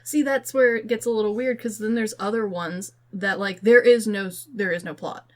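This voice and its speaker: A woman's voice: medium pitch, fairly even intonation, normal speed, darkish tone. A woman podcast host chatting informally about pop culture, opinionated and casual with her language.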